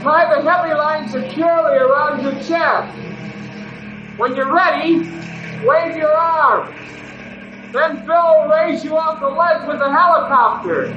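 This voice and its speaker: A man's voice: extremely high pitch, measured pace, muffled recording, music in the background. A man playing an eager, earnest young rescue sidekick in an old-time radio adventure. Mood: urgent, instructing. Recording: echoey, noisy old radio recording.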